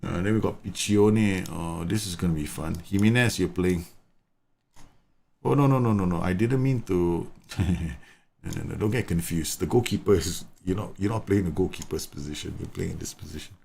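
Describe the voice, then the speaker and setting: A man's voice: sits low, moderate pace, creaky, a little mellow, husky. Chatty man streaming. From Singapore. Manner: chatty, repeats words, explains tactics at length. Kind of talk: live gameplay commentary and chat.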